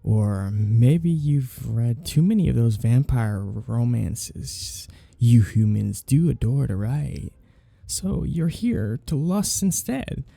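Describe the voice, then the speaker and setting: A suave, predatory vampire lord, acted by a man for an ASMR roleplay, with a very dark, mellow voice that creaks at the edges. He teases slowly and condescendingly, savoring each menacing phrase. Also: medium pitch, expressive, no echo, studio quality, exaggerated voice. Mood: predatory, flirtatious. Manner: slow, teasing, condescending purr with drawn-out phrases. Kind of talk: ASMR roleplay, intimate scripted monologue.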